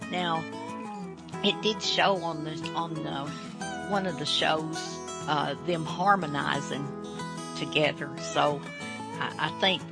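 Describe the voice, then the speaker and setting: A woman hosting a radio show casually, folksy, halting and rambling. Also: sits low, fairly even intonation, gravelly, loud music behind the voice. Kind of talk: casual unscripted show introduction.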